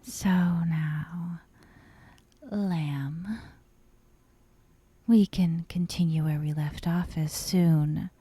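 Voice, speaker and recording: A woman voicing a possessive, dominant young yandere kidnapper in an ASMR roleplay, softly coaxing and sweetly menacing, often slipping into whispers. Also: lowish voice, no room sound, heavily acted. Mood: smug, affectionate. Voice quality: soft, breathy, often dropping into whispers. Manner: slow, teasing, coaxing with veiled threats. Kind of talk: ASMR roleplay, intimate monologue to the listener. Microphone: close, dry microphone.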